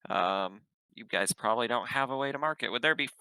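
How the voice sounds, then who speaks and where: A man with a very sharp, clear voice plays a tabletop game in casual character talk. His pitch is medium and the sound is dry. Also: a little fast, no echo.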